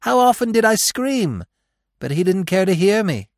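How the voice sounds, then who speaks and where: A man voicing an indignant, boastful jay from a storybook, with a raspy croak. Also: sits high, very animated, moderate pace, no room sound, studio quality, pitched above the performer's own voice.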